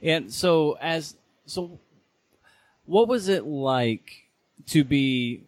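A man's voice: fairly high pitch, expressive, drawn-out words, no room sound. Dry-sounding man interviewing casually. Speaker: podcast host, interviewer.

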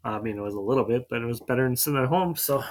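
An easygoing male podcast co-host who rambles through his week in a mid-pitched voice. He often trails off his sentences with a loose "so.". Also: racing. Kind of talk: casual chat.

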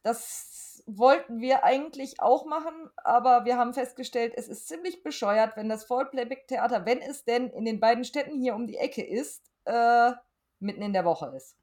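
A middle-aged woman with a fairly high voice. She chats casually as a podcast guest and speaks in a frank, emphatic way. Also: moderate pace, a little mellow. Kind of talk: casual chat.

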